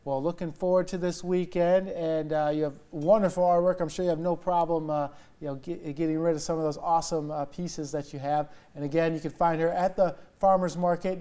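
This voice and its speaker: The man at the morning news desk, American, voice sitting somewhat high as he chats with a local guest. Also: level tone, brisk, hardly any pauses, warm tone, clean tone. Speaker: TV news anchor interviewing a guest. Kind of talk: morning news interview, conversational. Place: TV news studio.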